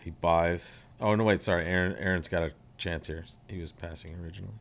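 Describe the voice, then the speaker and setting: A man from upstate New York hosting live bridge commentary, jokey and excitable with quick asides. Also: low voice, slight background noise.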